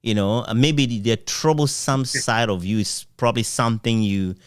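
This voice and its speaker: A man interviewing in a conversational way, phrasing his questions in a measured, hesitant manner. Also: mid-range voice, smooth, no room sound, studio quality. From Ghana.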